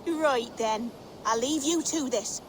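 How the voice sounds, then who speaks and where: High-voiced, unhurried village housewife. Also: female voice, a little hiss or hum. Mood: homely, instructing. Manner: plain, instructing, familiar. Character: homely, bossy village housewife giving kitchen chores. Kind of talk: game cutscene acting.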